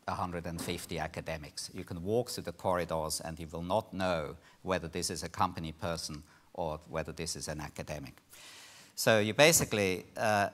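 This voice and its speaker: Man, swiss accent, very low voice, measured panel talk, conference stage. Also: a bit bright. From Switzerland. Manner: measured, with frequent ums and uhs. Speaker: panelist, institute director. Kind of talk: panel presentation.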